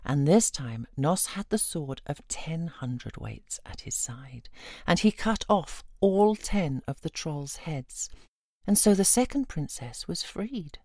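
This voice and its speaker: A woman reading a fairy tale aloud as an expressive storyteller. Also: low voice, slow, no room sound, studio quality.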